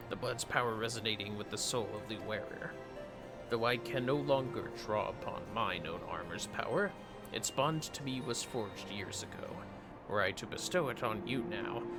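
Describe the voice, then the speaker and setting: A man voicing a solemn retired knight mentor from a fantasy game, reading in a formal, archaic, measured way. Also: lowish voice. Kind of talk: reading game dialogue aloud in character. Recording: game music behind the voice.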